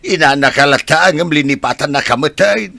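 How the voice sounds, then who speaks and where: Man acting gruff old man, gravelly, forceful, blunt, exasperated, dry close sound, audio drama. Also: on the high side, fairly quick, dry recording, exaggerated voice. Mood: irritated. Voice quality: gravelly, husky. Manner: grumbling, blunt.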